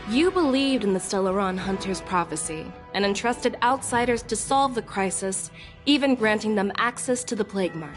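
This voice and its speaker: A woman's voice: mid-range voice, natural voice. A woman voice actor playing a probing, confident female general who questions people formally in a video game. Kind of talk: scripted video game voice acting.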